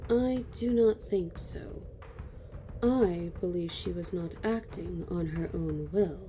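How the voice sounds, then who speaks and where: A woman playing a sly, composed female elf with a measured, knowing manner in a fantasy audio drama. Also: fairly low pitch, mellow, slight background noise. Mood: calm. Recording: faint background ambience.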